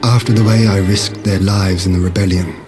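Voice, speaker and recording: A composed rebel lord, acted by a man in a fantasy game, low and formal. He speaks in measured, burdened phrases with music playing behind him. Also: steady tone, lightly acted. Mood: resolute, weary. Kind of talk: video game cutscene acting.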